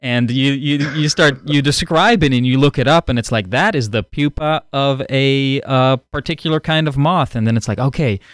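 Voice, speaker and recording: A man's voice: mid-range voice, normal speed, few pauses, clean tone, dry recording, studio quality. A man from the Netherlands whose first language is Dutch, musing playfully in a casual roundtable chat. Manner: playful, musing, laughs often. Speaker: podcast guest.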